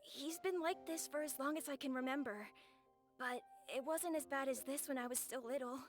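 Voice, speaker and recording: A woman voicing a worried young boy in a video game, with a soft, anxious way of speaking. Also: sits high, normal speed, soft background music, lightly acted. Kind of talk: game voice acting.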